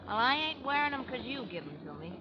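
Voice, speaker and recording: High, swooping frontier tomboy woman. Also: sing-song, fast, background noise. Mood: brash. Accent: American, Western drawl. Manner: folksy, blunt. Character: brash, rough-talking frontier stagecoach driver woman, good-hearted. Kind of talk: 1930s-40s radio drama acting. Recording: dull vintage radio recording.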